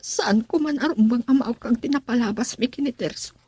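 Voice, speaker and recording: A woman's voice: a little high, a little fast, dark tone, slightly rough, no room sound. An actress playing a young daughter protesting to her father, in Ilocano radio drama style with an Ilocano accent. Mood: upset.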